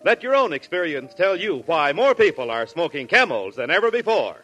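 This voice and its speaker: An American man announces in forties radio style. His voice is fairly high and bright, with animated intonation and a polished, emphatic, scripted delivery. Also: brisk, faint music behind.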